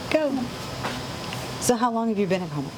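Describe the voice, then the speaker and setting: A woman's voice: mid-range voice, very animated, a little fast. A woman from New Jersey hosting a travel show, asking questions in an upbeat, chatty, rambling way. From New Jersey, USA. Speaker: travel show host interviewer. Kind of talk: casual interview chat. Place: on board a cruise ship. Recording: noisy background on a ship.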